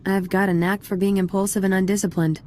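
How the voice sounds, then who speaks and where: A woman's voice: mid-range voice, level tone, quick pace, mellow, a little hiss or hum, lightly acted. An actress playing a flirtatious, cocky female army sergeant in a video game, with a teasing, playful manner. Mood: flirty, teasing. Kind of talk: video game cutscene acting.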